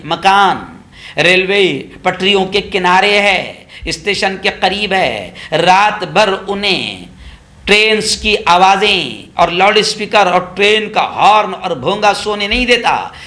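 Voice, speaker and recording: A man's voice: high voice, sharp tone. A man giving opinionated political news commentary, sarcastic and mocking, repeating phrases for emphasis.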